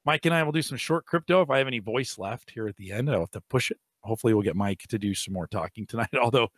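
A middle-aged man hosting a casual tech podcast, hoarse and raspy from allergies, rambling with fillers and restarts, on a boom-arm studio mic in a home studio. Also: expressive, fast, no echo. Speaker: podcast host. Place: home studio room with shelves.

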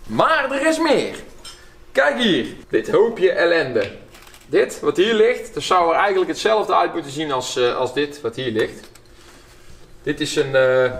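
A young man, a car mechanic hosting a workshop video, explaining engine damage in a chatty, lively way. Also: fairly high pitch, a little hiss or hum. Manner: lively, chatty, laughs often.

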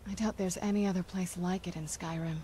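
A woman's voice: on the low side, steady tone, brisk, dark tone, lightly acted. A woman playing a wry, determined young-seeming vampire woman in a fantasy video game. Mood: wry wonder. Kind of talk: fantasy game character dialogue. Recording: clear game music underneath. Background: game music.

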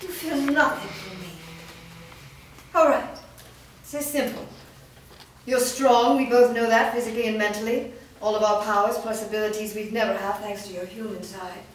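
A woman playing a ruthless female vampire master in a staged reading, speaking in a slow, threatening, deliberate way. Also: mid-range voice, fairly even intonation, slight background noise, natural voice. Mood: menacing. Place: large studio room with actors in a circle. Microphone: far camera. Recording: roomy echo from far off.

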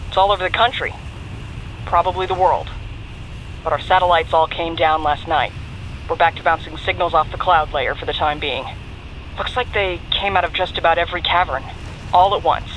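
An actress playing a brisk National Guard general in an audio drama, direct, controlled and authoritative. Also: female voice, fairly low pitch, fairly bright tone, a little hiss or hum, natural voice, rough recording. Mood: firm, grave. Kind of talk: audio drama dialogue over radio.

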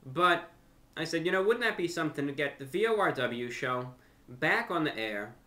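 A young man talking unscripted to camera as a host, with deliberate, slightly formal phrasing, pausing and repeating words. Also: a little high, expressive, pushed and bright. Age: young adult. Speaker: YouTube host and amateur radio broadcaster. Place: furnished room at home, indoors.

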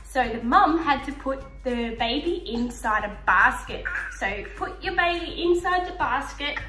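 A woman's voice: sits high, expressive, a little slow, pushed and bright. A woman in her thirties presenting a simple, hands-on story lesson for young children. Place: indoor room with painted river backdrop. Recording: roomy echo, faint background music.

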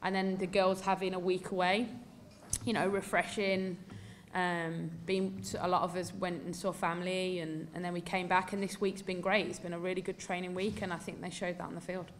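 English female coach in her 30s, low-pitched, candid and emphatic at a press desk mic, faint background hiss. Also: flat delivery, normal speed. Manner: candid, emphatic, many fillers. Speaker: football coach. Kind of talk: post-match press conference answers. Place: indoor press room before a sponsor backdrop.

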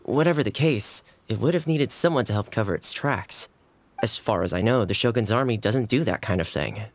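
A man voicing a friendly, easygoing young housekeeper who speaks in a gentle, sociable way, in video game voice acting. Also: on the low side, animated, a little fast, slight background noise.

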